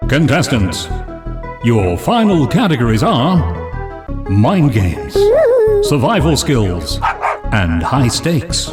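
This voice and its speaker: A man playing a formal game show announcer in an audio drama, measured and presentational as he reads out scripted lines. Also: mid-range voice, big pitch swings, over loud music.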